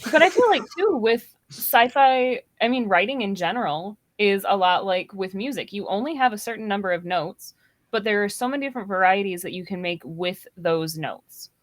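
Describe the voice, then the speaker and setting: Female podcast panelist, medium pitch, informal conversational delivery. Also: expressive, normal speed, no room sound. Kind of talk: casual, animated discussion.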